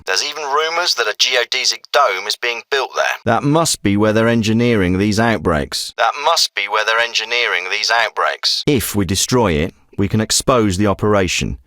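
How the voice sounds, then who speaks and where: A special forces commander in a military shooter. His voice is hard-edged and very bright, with animated pitch movement. He gives orders in a terse, businesslike, authoritative manner, recorded close and dry. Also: dry recording. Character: gruff, businesslike male special forces commander briefing an operative. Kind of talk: scripted military video game dialogue.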